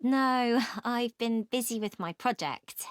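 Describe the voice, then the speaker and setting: A woman's voice: a little high, slow pace, clean tone, no room sound. Woman as interested young student, dry sound. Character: interested, supportive female food science student. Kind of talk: scripted student discussion.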